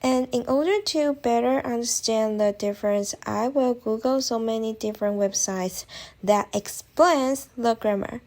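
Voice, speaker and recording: A woman's voice: fairly high pitch, unhurried, a little mellow. A young woman whose first language is Chinese, narrating a study tutorial in careful, slightly non-native English. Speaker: language-learning vlogger. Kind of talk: scripted voice-over tutorial.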